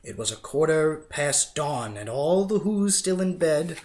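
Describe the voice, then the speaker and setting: A man's voice: on the high side, measured pace. A man reading a rhyming storybook as a dramatic, theatrical narrator. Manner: theatrical, expressive. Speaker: storybook reader.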